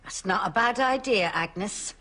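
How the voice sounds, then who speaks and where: An actress playing a sympathetic, naive middle-aged neighbour with an Irish accent in a sitcom. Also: female voice, mid-range voice, unhurried, close to an everyday voice. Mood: credulous. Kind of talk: sitcom acting. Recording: audience and noise behind.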